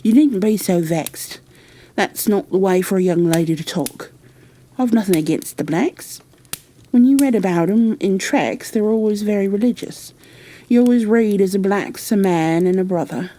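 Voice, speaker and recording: A woman's voice: medium pitch, moderate pace, mellow, natural voice. A woman voicing a blunt, good-natured young housemaid with a Yorkshire accent in a storybook reading. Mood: vexed, frank. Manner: blunt, chatty, plain-spoken.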